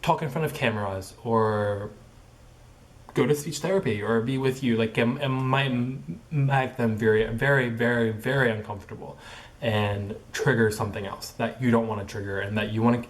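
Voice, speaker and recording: A young man speaks to camera in a casual vlog, his voice sitting at an ordinary middle pitch. He stutters, repeating first sounds and locking up on words. His phrasing is halting and crowded with likes and ums. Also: fairly even intonation. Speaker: vlogger. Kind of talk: casual personal vlog monologue.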